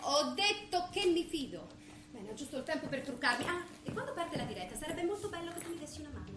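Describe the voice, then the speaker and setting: An actress playing a brisk, self-absorbed TV journalist wife in an Italian stage comedy, theatrical and insistent. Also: female voice, sits high, very animated, fairly bright tone. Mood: brisk, curt. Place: theatre stage, recorded from afar. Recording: echoey distant theatre recording, clear noise.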